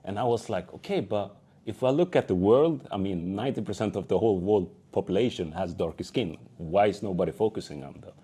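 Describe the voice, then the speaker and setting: A man's voice: fairly low pitch, brisk, mellow, breathy edge. A young man from Sweden telling his founder story on a panel, acting out quoted dialogue with many fillers. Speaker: entrepreneur, panel speaker.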